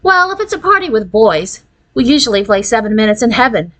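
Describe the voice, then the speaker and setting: A woman's voice: on the high side, big pitch swings, brisk, a bit bright. A woman voicing a trendy, self-assured young girl from California in a children's storybook, breezy and casually boastful. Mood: casual, showing off.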